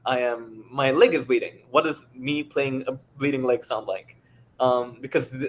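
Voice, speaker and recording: A man speaking as an audio director in a panel discussion, explaining his points earnestly. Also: medium pitch. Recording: clear background noise.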